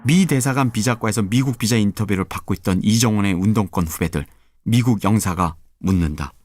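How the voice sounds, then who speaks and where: Male narrator for a radio drama; low register, even descriptive read over music. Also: a little fast.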